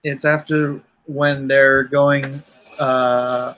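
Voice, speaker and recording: A man hosting a livestream, chatting casually with informal, unhurried asides. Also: medium pitch, little pitch movement, fairly bright tone, poor audio. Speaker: comic artist hosting a livestream.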